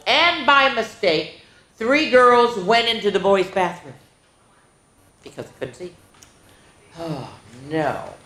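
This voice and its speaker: A woman's voice: mid-range voice, a bit bright. A woman hosting children's story time, reading a picture book aloud and breaking off for exclaiming asides to her listeners. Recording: roomy, echoing indoor sound.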